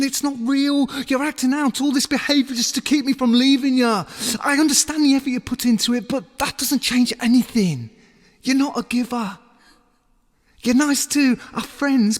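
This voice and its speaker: A man playing a hurt, blunt boyfriend accusingly ending a relationship in an audio drama. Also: very high-pitched. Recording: long reverberant hall sound.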